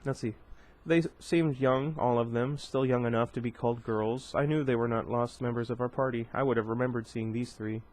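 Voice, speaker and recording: A man's voice: mid-range voice, fairly even intonation, fairly quick, few pauses, a little mellow. A man reading a novel aloud in a casual, unpolished way, stumbling over words and correcting himself. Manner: reads plainly, stumbles and corrects himself, breaks off for joking asides. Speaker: reader of a novel. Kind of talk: casual read-aloud with asides.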